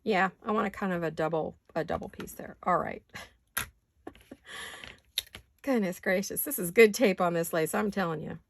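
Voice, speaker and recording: A woman with a raspy voice from allergies, chatting casually to viewers as she crafts in her home studio, often talking to herself and slipping into whispers. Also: lowish voice, moderate pace, long pauses. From California. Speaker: craft vlogger. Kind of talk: casual craft chat while working.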